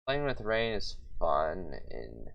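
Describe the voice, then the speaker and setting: A man's voice: sits low, huge pitch swings, takes it slowly, gravelly, lo-fi sound. A young man streaming casually, thinking aloud, reading notes half under his breath and mocking himself, as he says he is losing his voice. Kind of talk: casual livestream, thinking aloud while building a conlang.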